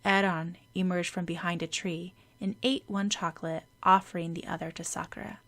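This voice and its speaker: A woman's voice: lowish voice, flat delivery, moderate pace, fairly bright tone. A woman narrating a fan fiction audiobook, reading scripted prose.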